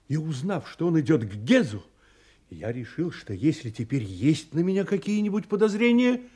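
An actor playing an agitated, guilt-ridden man giving a dramatic confession in an audio play, with a husky voice and a halting, then rushing delivery. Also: mid-range voice, mellow.

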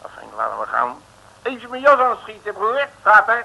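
Noisy old radio comedy: muttering detective, high creaky voice, nervous and blustering. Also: male voice, wildly swooping pitch, normal speed, bright, forceful tone, full character voice. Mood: flustered. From Netherlands. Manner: muttering, stammering, blustering, colloquial. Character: grumbling, nervous, street-wise middle-aged Rotterdam detective. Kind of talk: comic radio serial acting, around 1960.

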